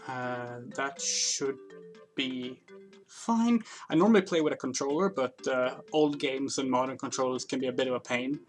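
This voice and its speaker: A game streamer giving casual, rambling, explanatory livestream commentary in a hesitant way. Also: medium pitch. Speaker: game streamer, channel host. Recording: game music behind the voice.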